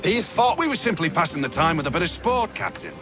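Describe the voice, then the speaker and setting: An actor playing a reassuring, persuasive sailor in a video game, trying to keep others calm. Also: male voice, a little high, quick pace, over music. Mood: urgent, placating. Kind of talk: video game cutscene acting.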